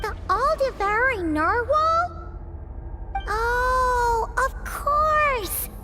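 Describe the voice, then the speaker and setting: A woman voicing a tiny, excitable female fairy companion in a video game, chattering about herself in the third person. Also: extremely slow, exaggerated voice. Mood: curious. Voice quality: very high, squeaky, childlike. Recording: game audio with loud music.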